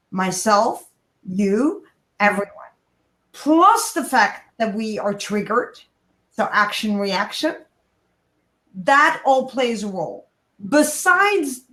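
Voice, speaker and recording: A woman with a high voice talks in an animated, coaching way, stressing her points and turning to address listeners directly. Her voice rings in a roomy, echoing space. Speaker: guest, kindness speaker. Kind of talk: animated advice-giving interview talk.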